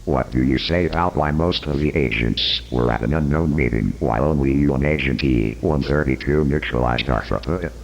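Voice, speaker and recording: A man's voice: rumbling low, moderate pace, gravelly, hardly any pauses, slight background noise, rough recording. A man playing a persistent, probing interrogator who questions formally and insistently in a scripted horror interview.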